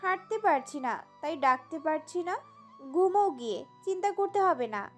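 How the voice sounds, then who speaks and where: A woman's voice: extremely high pitch, lively intonation, moderate pace, faint music behind. A woman playing an irritable, stubborn young wife in pain in an audio story, snappish and protesting. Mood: pained, dismissive. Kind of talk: Bengali audio story acting.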